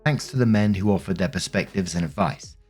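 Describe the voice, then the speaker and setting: A man's voice: sits low, a little fast, darkish tone, slightly rough, faint music behind. A man narrating online posts, reading steadily and adding brief casual asides. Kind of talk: reading posts aloud with commentary.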